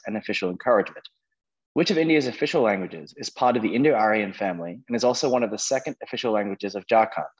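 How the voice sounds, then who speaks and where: Low, muffled male quiz host. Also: breathy edge. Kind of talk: reading quiz questions to contestants. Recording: dull lo-fi online call audio.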